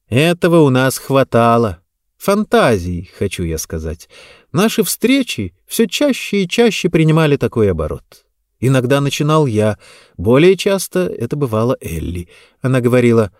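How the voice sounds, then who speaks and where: A man narrates a novel in the first person with an expressive delivery. His mid-pitched voice swings animatedly through each sentence, and the recording is close and dry, with very little room sound. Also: no room sound. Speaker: audiobook narrator.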